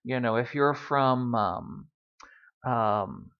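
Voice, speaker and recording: A man's voice: mid-range voice, drawn-out words, gravelly, smooth, lo-fi sound. A man giving a casual Spanish lesson, full of wry asides and ums. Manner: chatty, wry asides, many ums and uhs. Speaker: Spanish teacher.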